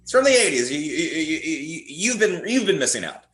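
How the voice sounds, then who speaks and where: A man's voice: fairly high pitch, very animated, normal speed, fairly bright tone. A man chatting casually and enthusiastically about films as a podcast guest, rambling through stories and restarting his words as he goes. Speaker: podcast guest, horror playwright. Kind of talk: casual enthusiastic film chat. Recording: roomy, echoing sound.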